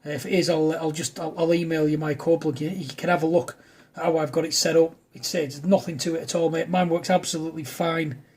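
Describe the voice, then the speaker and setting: A man's voice: fairly high pitch, level tone, brisk, darkish tone, slightly rough. A man, an amateur radio operator, talking in a casual chatty style to a fellow operator.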